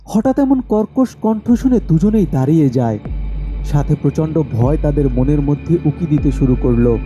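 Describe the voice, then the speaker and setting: A man's voice: fairly high pitch, lively intonation, moderate pace, warm tone, soft background music. A man narrating a scripted horror story as its storyteller.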